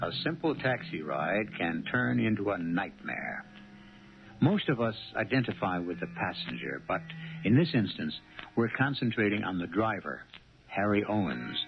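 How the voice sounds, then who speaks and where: A man hosting and narrating a 1970s radio drama in deliberate, dramatic scripted phrasing. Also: on the low side, big pitch swings, vocal fry, dull audio. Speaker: host and narrator. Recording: band-limited 1970s radio recording. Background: music.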